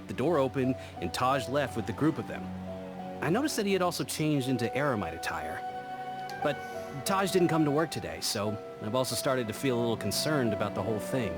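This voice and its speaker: Animated, concerned officer, voiced by a man. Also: medium pitch, natural voice. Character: concerned male officer giving his account. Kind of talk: video game voice acting. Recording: background music.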